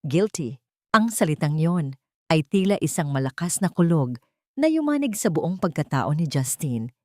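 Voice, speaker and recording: A woman narrator reading a scripted dramatic love story in a solemn, deliberate manner. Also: deep voice, darkish tone, no room sound, studio quality.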